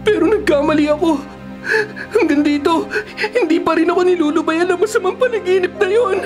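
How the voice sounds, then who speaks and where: Expressive young Filipino student, over music. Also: male voice, very high-pitched, a little fast. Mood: frightened, troubled. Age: young adult. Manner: expressive, melodramatic thinking aloud. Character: haunted male college student from the province, anxious yet cocky. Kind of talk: Filipino radio drama acting with spoken thoughts. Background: music bed.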